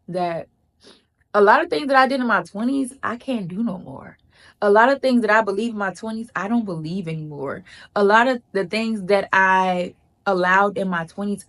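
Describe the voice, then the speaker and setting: A chatty woman gives life advice straight to camera. Her voice sits at an ordinary middle pitch. She is emphatic and repeats key lines, often calling the listener "girl" or "baby.". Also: fairly bright tone. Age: 30s. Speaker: vlogger. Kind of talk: casual advice talk to camera.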